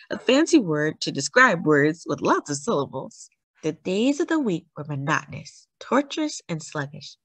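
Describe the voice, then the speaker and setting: A woman reading a children's picture book aloud as a storyteller, with deliberate, dramatic emphasis on key words. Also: on the low side, a bit bright, no room sound. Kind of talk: expressive read-aloud narration for children.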